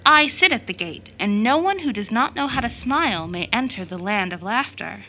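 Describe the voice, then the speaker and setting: A woman storyteller voicing a kindly lady gatekeeper in a fairy tale, gentle and encouraging. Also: fairly high pitch, lively intonation, normal speed, exaggerated voice, poor audio. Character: gentle, kindly lady guarding a magical gate.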